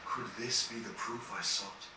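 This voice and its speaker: A man playing a gentle, melancholy dying composer in a fantasy game, speaking in a reflective, earnest, measured way with formal phrasing. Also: mid-range voice, fairly even intonation, natural voice. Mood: wondering, reflective. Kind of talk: dramatic game cutscene dialogue. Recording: distant, echoey, noisy game capture.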